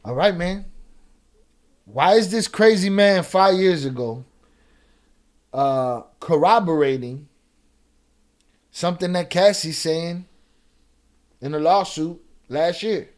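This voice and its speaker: A man commenting live on a video, sarcastic and animated, with many restarts. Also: a little high, slow, pauses often. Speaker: reaction streamer.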